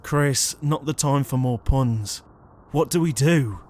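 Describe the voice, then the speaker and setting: Ghost gang leader, male actor, audio roleplay: earnest, deliberate, mid-range voice. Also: medium pitch, darkish tone. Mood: earnest, in charge. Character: earnest, bossy ghost ringleader, easily flustered.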